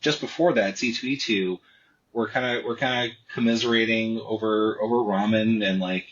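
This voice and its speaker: A man's voice: on the low side, lo-fi sound. A man, a comic artist, telling the story of his book in a casual interview, stumbling over restarts as he talks. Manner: repetitive restarts, animated storytelling.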